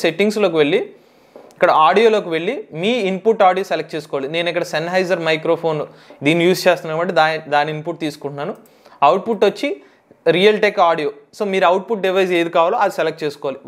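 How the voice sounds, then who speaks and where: A man hosts a tech tutorial, explaining software step by step and repeating key phrases. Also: high-pitched.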